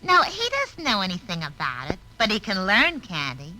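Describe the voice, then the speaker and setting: Vintage radio comedy: brassy, chatty female operator, very sharp and highish, faint hiss. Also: wildly swooping pitch, moderate pace, full character voice, rough recording. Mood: dreamy, naive. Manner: brassy, gossipy phone chatter. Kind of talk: 1950s radio comedy acting. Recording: old radio recording, faint noise.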